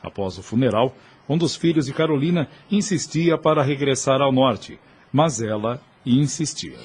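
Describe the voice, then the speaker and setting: A man with a mid-pitched, somewhat husky voice narrates a period audio drama. His delivery is solemn, literary and formal. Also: lively intonation, moderate pace, darkish tone.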